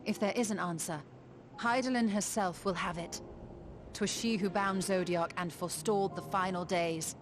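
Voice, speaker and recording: Composed, solemn fantasy heroine, played by an actress. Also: female voice, mid-range voice, steady tone, moderate pace, background noise, lightly acted. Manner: formal, archaic phrasing. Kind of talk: scripted video game voice acting. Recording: background music under the voice.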